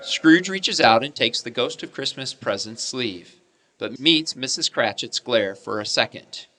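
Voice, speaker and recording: A man's voice: medium pitch, animated, unhurried, sharp tone. A man delivering scripted narration for a radio play.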